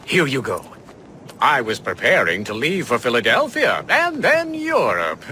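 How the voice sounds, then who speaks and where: An actor playing a genial, chatty old inventor in a video game cutscene. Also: male voice, mid-range voice, wildly swooping pitch, measured pace, sharp tone, slight background noise, full character voice. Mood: genial, pleased.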